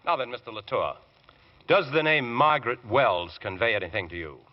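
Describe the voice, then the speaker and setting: An actor playing a relentless barrister in an old radio drama, questioning in a clipped, insistent, accusatory way. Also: male voice, medium pitch, brisk, lightly acted. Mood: pointed, probing. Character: relentless male defence barrister grilling a witness. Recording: dull lo-fi old radio recording.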